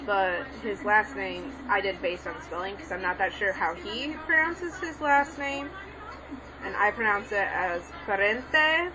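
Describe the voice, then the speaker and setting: A woman's voice: mid-range voice, unhurried. A young woman giving a casual lesson while she writes, rambling and correcting herself as she goes. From Mexican background. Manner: rambling, self-correcting, hesitant. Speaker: language tutorial maker. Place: home room with a TV on. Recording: lo-fi home recording with background noise.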